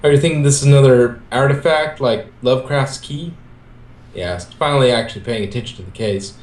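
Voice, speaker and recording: A man's voice: medium pitch, brisk, darkish tone. A man reading fan fiction aloud, switching between scripted narration and character dialogue. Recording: echoey room, amateur recording.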